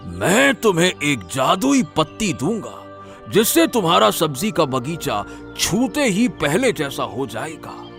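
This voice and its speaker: A man voicing a solemn old holy sage in an animated moral story, with slow, drawn-out pronouncements and a gravelly voice. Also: fairly high pitch, faint music behind, exaggerated voice. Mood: solemn, benevolent.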